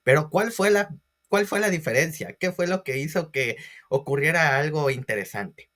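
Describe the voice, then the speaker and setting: A man's voice: on the high side, animated, normal speed, fairly bright tone. A man giving a history lecture as a storyteller, with theatrical emphasis and questions to his listeners. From Ecuador. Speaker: history teacher.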